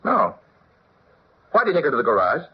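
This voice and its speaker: A man's voice: mid-range voice, huge pitch swings, fairly quick. An actor playing an earnest, suspicious young man, the son of a wealthy household, in 1940s radio style. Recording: muffled, faintly noisy old radio recording.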